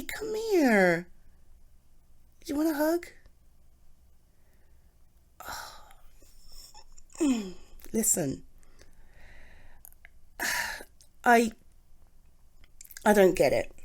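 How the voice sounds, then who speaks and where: Slow-talking, expressive British girlfriend, acted by a woman. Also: on the high side, full character voice. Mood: tender, baffled. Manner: gushing, affectionate, halting with sighs and repeats. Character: doting, excitable, supportive girlfriend comforting her partner. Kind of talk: ASMR girlfriend roleplay, intimate one-sided scene.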